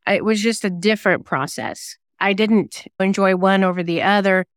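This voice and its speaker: A woman's voice: mid-range voice, moderate pace, no room sound, studio quality. A woman giving conversational interview answers in a chatty, self-deprecating way. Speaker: guest singer-songwriter and novelist.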